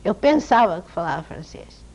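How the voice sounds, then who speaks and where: A woman with a European Portuguese accent, an author telling lively, self-amused stories as a guest in an interview. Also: fairly low pitch, moderate pace. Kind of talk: anecdotal interview storytelling. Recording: dull lo-fi TV sound.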